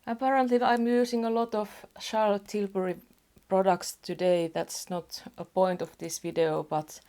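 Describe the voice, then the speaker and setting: A woman with a Finnish accent, chatting casually at home as a beauty vlogger, soft-spoken and rambling with small restarts. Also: deep voice. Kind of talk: casual get-ready-with-me chat. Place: home apartment.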